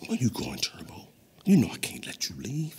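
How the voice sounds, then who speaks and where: An actor playing a sly young male burglar who scolds in a hushed whisper. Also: mid-range voice, huge pitch swings, moderate pace. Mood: hushed scolding.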